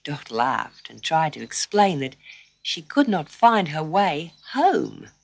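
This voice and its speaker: A woman reading a scripted children's story in an expressive manner, with dramatic pauses. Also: deep voice, gravelly.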